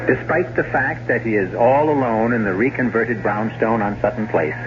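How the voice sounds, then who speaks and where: A man's voice: on the low side, moderate pace, noisy background, lightly acted. A man narrating a scripted 1970s radio mystery as a suave, ironic, theatrical storyteller. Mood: wry, suspenseful. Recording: muffled old radio broadcast over music.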